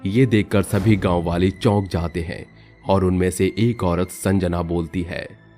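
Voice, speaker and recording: A man narrating a scripted horror story for a cartoon storybook channel, steady and dramatic. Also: low-pitched, measured pace, faint music behind.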